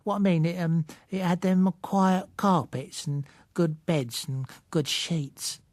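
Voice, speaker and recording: Audiobook character: elderly working-class London woman, played by a man, raised strained pitch, plaintive, colloquial, halting delivery. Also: a little mellow, no room sound, clean studio sound. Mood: halting, insistent. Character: huddled old blind woman, wary, plaintive, uneducated.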